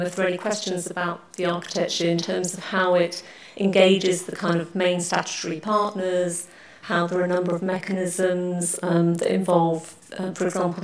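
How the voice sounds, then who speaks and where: A woman from Wales giving a conference presentation, speaking in a measured way with frequent pauses. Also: mid-range voice. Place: large conference hall. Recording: echoey hall.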